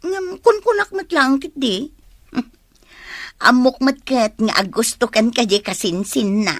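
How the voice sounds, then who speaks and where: A scornful, gossipy young cousin in a radio drama. She is blunt and high-pitched, recorded very dry. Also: slow pace, no room sound. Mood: teasing. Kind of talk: Ilocano radio drama acting.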